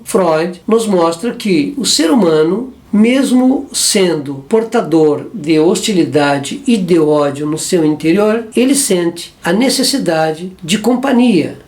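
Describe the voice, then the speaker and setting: A presenter with a Brazilian Portuguese accent, reading a scripted educational explanation in a measured, explanatory way, with an occasional aside. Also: medium pitch, darkish tone. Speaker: psychoanalysis channel presenter.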